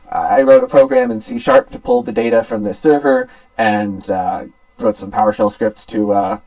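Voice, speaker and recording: A man's voice: mid-range voice, vocal fry, darkish tone, distant mic, low-quality recording. A young man giving an academic student presentation in an informal, somewhat hesitant explaining manner. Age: young adult.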